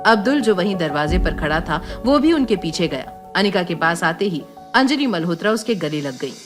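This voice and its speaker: Low-voiced woman narrating a drama, music underneath. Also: big pitch swings, moderate pace. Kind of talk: scripted dramatic story narration.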